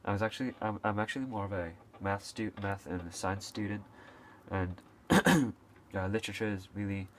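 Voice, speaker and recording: A man's voice: lowish voice, fairly even intonation, moderate pace, slight background noise. A young man from Hong Kong talking casually to camera, with frequent fillers, restarts and a few coughs. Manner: hesitant, many fillers and restarts, occasional coughs. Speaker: video creator. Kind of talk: casual unscripted talk to camera.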